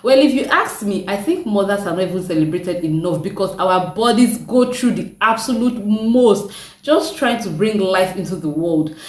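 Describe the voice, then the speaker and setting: A young woman with a deep voice, chatting casually to her viewers and laughing often. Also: animated, moderate pace, recorded from a distance. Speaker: vlogger. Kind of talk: casual chat to camera. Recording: echoey room, mic set back.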